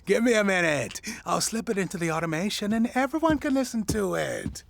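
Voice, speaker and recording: A man's voice: high voice, big pitch swings, brisk, gravelly, no room sound, heavily acted. A man playing a fussy, theatrical radio station host in an audio comedy, opinionated and dramatic. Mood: grumbling, opinionated.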